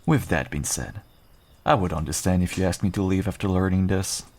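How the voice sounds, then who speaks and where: A man playing a guarded but kind half-wolf rogue in an intimate fantasy audio roleplay, unhurried and dryly understated. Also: a little mellow. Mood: wary, resigned. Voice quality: low, gravelly, husky. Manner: unhurried, dry, understated, self-deprecating.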